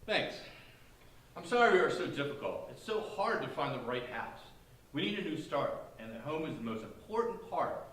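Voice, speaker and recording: A man's voice: on the high side, sing-song, moderate pace, a bit bright. A man playing a weary, stern father with a short temper in a staged play reading. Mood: apologetic, weary. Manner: apologetic to others, short-tempered with daughter. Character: weary, stern father seeking a new start. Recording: echoey hall, distant mic, faint noise.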